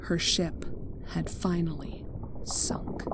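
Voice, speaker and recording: A woman reading a tragic fan fiction story aloud in a somber, slow narration that drops to near-whispers. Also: fairly low pitch, flat delivery, creaky, noisy background. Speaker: fanfic reader. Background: soft guitar music.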